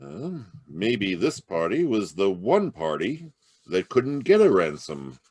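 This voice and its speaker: A man plays a firm police inspector in a radio mystery, with a widely moving, animated pitch. He is shrewd and authoritative, recorded dry and close. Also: takes it slowly, breathy edge, no echo, heavily acted. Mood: grave. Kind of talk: old-time radio mystery acting. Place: live video call at home. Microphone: video call.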